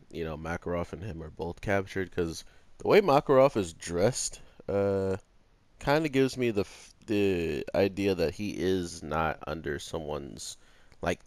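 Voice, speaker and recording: A man's voice: deep voice, no echo. A man giving informal, unscripted review commentary in a hesitant, meandering way with bits of slang. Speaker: anime review YouTuber.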